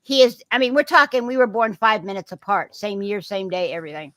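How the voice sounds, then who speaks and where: A woman's voice: mid-range voice, fairly quick, a bit bright, dry recording. Laughing woman in her sixties. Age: 60s. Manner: candid, laughs often. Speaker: live stream panelist. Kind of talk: casual group video chat.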